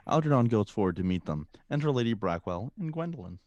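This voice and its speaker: A man's voice: fairly low pitch, sing-song, fast talker, darkish tone, no echo, lo-fi sound. A man reading out stage directions as scripted narration.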